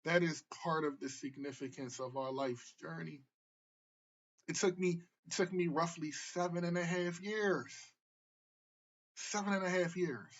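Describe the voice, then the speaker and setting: Husky-voiced man in his forties, lo-fi. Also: fairly high pitch, measured pace. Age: 40s. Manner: casual, repetitive, tag questions. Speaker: therapist. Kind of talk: motivational talk. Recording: muffled lo-fi recording.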